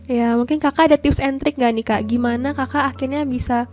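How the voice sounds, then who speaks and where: A woman's voice: high-pitched, steady tone, brisk, darkish tone, faint music behind. A woman hosting a casual interview in an informal, polite manner. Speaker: interviewer, host.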